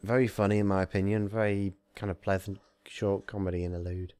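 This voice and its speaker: A man's voice: on the low side, little pitch movement, a little fast, a little mellow, clean tone, dry recording. A man working as an amateur narrator, reading a fan story and chatting informally about it.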